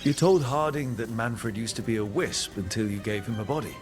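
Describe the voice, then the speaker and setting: An actor playing a laid-back, sympathetic young mage adventurer in a video game, talking in a casual, understated way. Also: male voice, mid-range voice, brisk, music in the background, lightly acted. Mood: gentle, curious.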